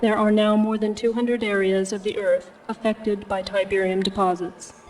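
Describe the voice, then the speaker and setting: An actress playing a sober, factual documentary narrator in a video game cutscene, speaking in a formal, informational way. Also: female voice, mid-range voice, flat delivery, normal speed, a little mellow, slight background noise, natural voice.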